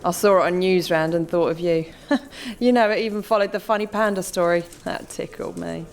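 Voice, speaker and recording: An actor with a British accent playing a dry, teasing, unimpressed police sergeant in a radio comedy. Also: male voice, high-pitched, brisk, creaky. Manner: sarcastic, dismissive.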